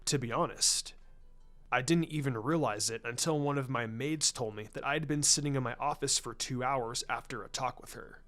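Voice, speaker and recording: A grave, proud clan patriarch from a storybook , as a male reader plays him. He speaks formally and with measured reserve, pitched a little under the reader's own voice. Music plays underneath. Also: a bit bright, close to an everyday voice. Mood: troubled, firm. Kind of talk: fan fiction story reading.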